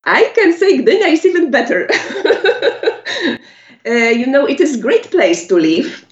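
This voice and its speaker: A woman with a Polish accent, a native Polish speaker, answering interview questions in halting English with many pauses and laughs. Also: high-pitched, very animated. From Poland. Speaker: musician guest. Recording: echoey, muffled lo-fi audio.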